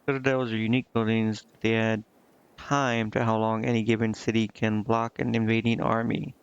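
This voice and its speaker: A man's voice: fairly low pitch, little pitch movement, moderate pace, clear, resonant tone. A man streaming a game, giving casual, informal commentary and reading aloud, often muttering to himself. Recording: muffled lo-fi stream audio over game music. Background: game music.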